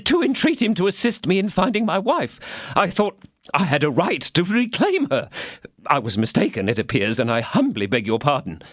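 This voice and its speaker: A man voicing a frightened, fawning tradesman who pleads in a shrill, flustered voice. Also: huge pitch swings, normal speed, no room sound, clean studio sound, full character voice. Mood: pleading. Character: frightened fawning middle-aged male mercer.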